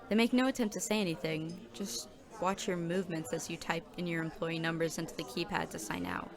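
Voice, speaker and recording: A woman's voice: on the low side, lightly acted. A woman performing scripted audio drama narration as a nervous, overworked new coffee shop worker. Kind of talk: scripted second-person narration.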